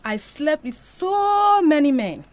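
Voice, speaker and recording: A woman's voice: high voice, very animated, slow, full character voice. A woman playing an anguished, devout Nigerian mother confessing a painful past in an audio drama. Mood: sorrowful confession.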